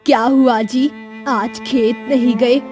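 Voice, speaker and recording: A woman's voice: on the high side, fairly even intonation, takes it slowly, faint music behind. A woman voicing a caring, worried farmer's wife in a cartoon moral story. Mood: concerned. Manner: gentle, concerned.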